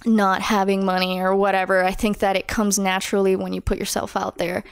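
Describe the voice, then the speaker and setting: A young woman in her twenties, a musician guest on a podcast, talking casually and animatedly with a smooth voice. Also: mid-range voice, brisk, no room sound, studio quality. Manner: animated, acts out her own inner voice.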